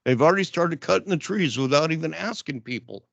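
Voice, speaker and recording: A man's voice: a little high, lively intonation, fairly quick, gravelly, a bit bright, no room sound. An older man, a retired resident, making a blunt, rambling complaint at the public comment microphone of a council meeting. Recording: dull lo-fi council chamber mic.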